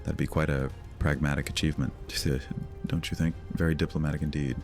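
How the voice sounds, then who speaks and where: Man playing a very deep-voiced, menacing vampire lord. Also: level tone, faint music behind. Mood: taunting, menacing. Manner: theatrical, taunting, deliberate. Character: menacing, brooding, sardonic male vampire lord. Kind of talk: cozy horror audio drama acting.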